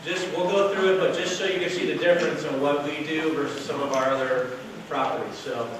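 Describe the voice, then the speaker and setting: A man giving a loud, casual workshop talk to an audience as a hotel sales director, with no microphone. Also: on the high side, fairly even intonation, a little fast. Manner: casual, chatty, with fillers. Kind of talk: workshop presentation to a live audience. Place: meeting room with an audience. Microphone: distant camera, no microphone. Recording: very echoey room from far off with noise.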